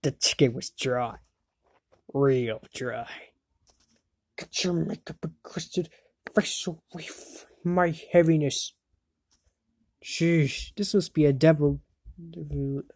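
A woman's voice: deep voice, slow, pauses often, darkish tone, full character voice. A woman voicing a bratty, hot-tempered young boy wizard in an amateur cartoon fandub, sneering and exasperated. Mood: scornful, irritated.

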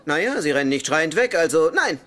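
An actor playing a self-deprecating, eager young single man in a dubbed sitcom, with animated delivery. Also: on the high side, fairly quick. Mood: cocky, excited. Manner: animated, self-mocking joking. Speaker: sitcom character. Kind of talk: German-dubbed sitcom acting. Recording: sitcom soundtrack with audience laughter, noisy.

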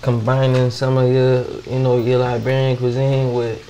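A man hosts a casual interview in a home kitchen. His voice sits at a medium pitch and his talk is informal and full of slang. Food sizzles clearly in the background. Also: monotone, normal speed, mellow, slight background noise. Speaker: podcast host. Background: frying and sizzling food.

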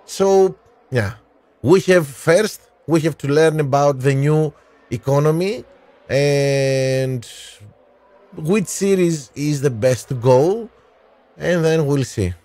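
A man in his thirties streaming a racing game live, calling strategy and answering his chat by name with frequent pauses, on a headset mic in a small room. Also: on the high side, dark tone. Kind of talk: live gameplay commentary and chat replies.

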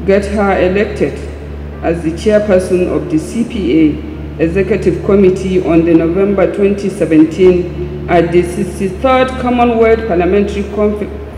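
A middle-aged woman, a parliamentarian, reading a formal eulogy in a solemn, measured way with deliberate phrasing. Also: on the low side, background noise. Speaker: parliamentarian giving a tribute. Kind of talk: formal eulogy read from a script. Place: large indoor atrium hall at a memorial ceremony. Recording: echoey hall, long reverb, music behind.

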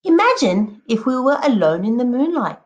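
A woman teacher reading a picture book aloud to children, expressively, addressing them directly and encouragingly with drawn-out emphatic words. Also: on the high side, big pitch swings, a little mellow, highs cut off. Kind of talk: expressive children's read-aloud with prompting questions.

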